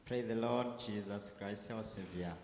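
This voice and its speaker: A man introduces himself devoutly to a group. His voice is somewhat low. It rings in a large hall with slight noise behind. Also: measured pace, a little mellow. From Tanzania. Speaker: camp counselor. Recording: echoey hall, faint background noise.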